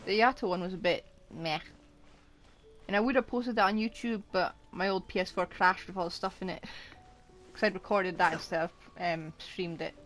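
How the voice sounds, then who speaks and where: A woman's voice: fairly low pitch, moderate pace, fairly bright tone, faint music behind. A woman streaming a game, giving casual off-the-cuff commentary as she plays. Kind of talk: casual live gameplay commentary.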